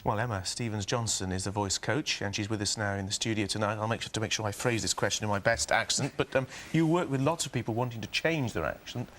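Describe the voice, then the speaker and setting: Male news anchor, relaxed studio delivery. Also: lowish voice, fast, few pauses, slight background noise. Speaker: news presenter.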